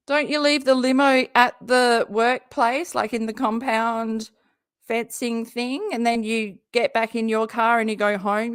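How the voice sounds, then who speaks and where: Fields: Sex: woman. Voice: gravelly and hoarse. Style: chatty live-stream host. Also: a little high, dry recording, studio quality. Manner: chatty, jokey, talks to her viewers. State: hoarse, often losing her voice. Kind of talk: casual live-stream reaction chat.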